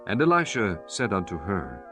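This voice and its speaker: A man's voice: deep voice, huge pitch swings, moderate pace, music in the background. A man reading solemn, scripted scripture narration for a dramatized audiobook.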